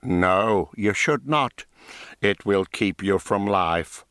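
A man's voice: expressive, fairly bright tone, no echo, studio quality. A man reading an audiobook, voicing a broken, haunted old woman dyer in a strained, raised voice. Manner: slow, strained, rising high pitch. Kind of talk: audiobook character voice.

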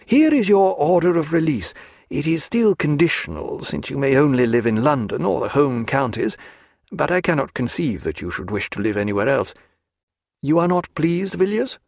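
A man reading aloud voices a reserved, earnest gentleman doctor who talks in a measured, formal way. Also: on the high side, big pitch swings, vocal fry, dry recording, studio quality, voice pushed up, heavily acted.

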